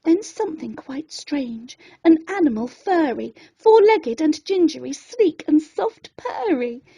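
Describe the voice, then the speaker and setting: A woman reading a rhyming children's bedtime story in a dramatic, expressive way. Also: high-pitched, unhurried, poor audio.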